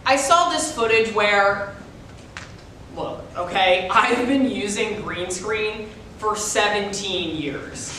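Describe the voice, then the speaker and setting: A woman's voice: medium pitch, slow pace, sharp tone. A woman playing an insistent, defensive conspiracy theorist in live reader's theater, emphatic and smug. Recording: distant, echoey room with faint noise.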